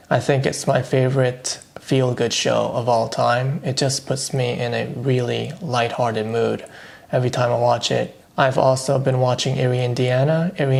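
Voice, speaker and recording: Man, gravelly voice, flat monotone, casual solo vlog commentary, dry low-key delivery. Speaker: vlogger reviewing streaming shows.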